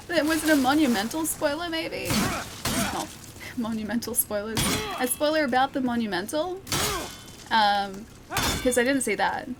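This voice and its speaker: A woman's voice: high-pitched, heavy background noise. A young woman from Australia streaming a video game, chatting casually and giggling as she talks to her viewers. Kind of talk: casual live-stream commentary.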